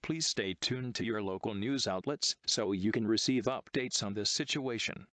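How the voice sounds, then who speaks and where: A synthetic male text-to-speech voice reading a scripted emergency broadcast announcement in a formal, impersonal, measured manner. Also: on the low side, a bit bright, no echo.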